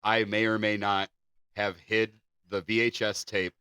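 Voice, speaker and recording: A man's voice: on the low side, level tone, measured pace, pushed and bright, clear, resonant tone, no echo, clean studio sound. A man co-hosting a podcast, moving between reading scripted narration and informal chat. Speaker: podcast co-host.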